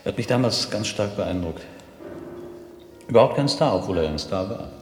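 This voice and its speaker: An actor playing a cultured, self-assured, well-to-do gentleman in a radio drama, particular and condescending in manner. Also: lowish voice, lively intonation, moderate pace, natural voice. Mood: admiring, reflective. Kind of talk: German radio drama acting. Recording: echoey reverberant space, music behind.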